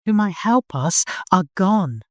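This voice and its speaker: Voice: mid-range voice, expressive, slow, dry recording, voice pushed up. An audiobook narrator voicing an anxious, pleading woman, a loving and devout nurse, with urgency. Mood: urgent, insistent. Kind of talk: audiobook character acting.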